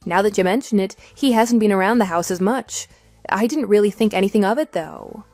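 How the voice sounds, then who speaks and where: Woman doing betrayed wife in an audio drama, mid pitch, cool n sarcastic, totally matter of fact, bit of music behind. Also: brisk, a little mellow, no echo, close to an everyday voice. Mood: dry, unimpressed. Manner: dry, firm, matter-of-fact. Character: unimpressed, coolly sarcastic wronged wife. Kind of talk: scripted radio drama dialogue.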